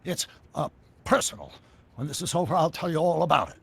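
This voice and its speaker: An actor playing an eccentric older American scientist in a video game, explaining things in a wordy, theatrical way with a gravelly voice. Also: male voice, fairly high pitch, sing-song, fairly quick, no echo, exaggerated voice. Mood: evasive. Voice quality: gravelly, husky. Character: eccentric older male scientist inventor, excitable and secretive. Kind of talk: scripted video game dialogue.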